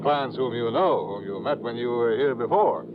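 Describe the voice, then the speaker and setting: A man's voice: mid-range voice, lively intonation, moderate pace, close to an everyday voice. An actor playing a prim, snooty, earnest male insurance agent in a mid-century radio drama, formal and hesitant in his speech. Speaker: supporting actor in a radio serial. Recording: muffled, noisy old radio broadcast.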